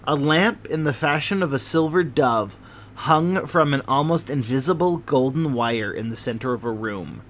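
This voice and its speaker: A man reading a classic novel aloud as narrator in a steady, plain manner. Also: medium pitch, normal speed, slight background noise. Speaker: audiobook reader.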